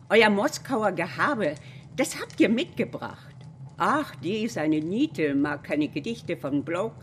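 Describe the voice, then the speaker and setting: An actress playing an outspoken grandmother in a German radio drama, with a blunt, animated manner. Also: lowish voice. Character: outspoken Russian grandmother, a chemist, scolding her family.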